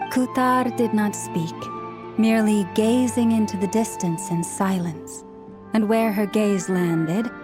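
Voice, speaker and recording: A woman voicing a composed young scholar in a video game, serious, precise and formal in a measured way. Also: medium pitch, natural voice. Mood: dry, matter-of-fact. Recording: music behind the voice.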